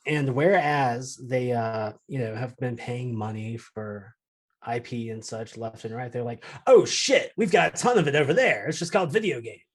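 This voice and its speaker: A man's voice: medium pitch, moderate pace. A man co-hosting a podcast in casual, unscripted conversation. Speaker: show co-host.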